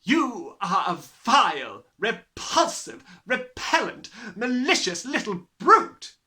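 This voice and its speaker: A reader putting on a giant, bullying headmistress who bellows her contempt in a raised, bright, hard-edged voice. She swings wildly between sneering and roaring, with a pitch that leaps all over. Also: expressive, slow pace. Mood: raging. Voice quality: booming, contemptuous. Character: huge furious female headmistress, bullying.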